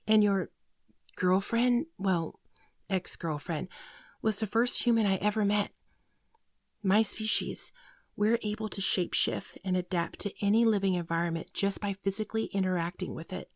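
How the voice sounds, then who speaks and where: A timid female shapeshifting alien , acted by a woman, pleads softly in an intimate ASMR roleplay. The delivery is halting, tearful and apologetic, often falling into a whisper. The sound is dry and close, with a mid-range pitch. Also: dry recording. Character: frightened, apologetic female shapeshifting alien posing as human girlfriend.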